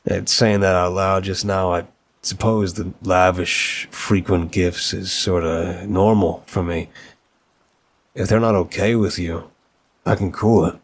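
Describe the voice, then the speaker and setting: A man's voice: low voice, steady tone, takes it slowly, pauses often, full character voice. A man playing an affectionate, apologetic young mafia boss boyfriend, speaking earnestly and politely with hesitant stammers in an intimate roleplay audio drama. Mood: tender, admiring.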